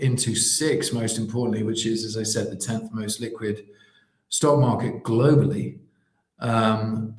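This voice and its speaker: A man on a live panel making confident, pitch-like points in long, building sentences. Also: darkish tone, echoey room, low-quality recording. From Switzerland. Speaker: panel guest.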